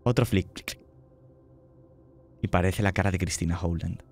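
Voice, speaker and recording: Guy gm with a lowish voice telling a creepy scene slow and quiet. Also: a little hiss or hum. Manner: slow, hushed storytelling with sound effects. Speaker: game master. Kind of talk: narrating a horror tabletop game.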